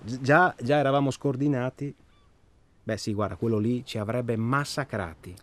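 A man's voice: medium pitch, lightly acted. Actor playing an irritable, defensive married man. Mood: reflective, bitter. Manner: profane, halting, repeats himself. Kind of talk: naturalistic radio drama dialogue.

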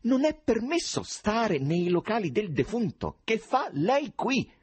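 A man voicing a gruff, suspicious housing chairman in an audiobook, questioning sternly and indignantly in halting phrases. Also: lowish voice, big pitch swings, warm tone, no room sound, full character voice. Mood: angry. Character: suspicious, boorish, easily angered male housing cooperative chairman.

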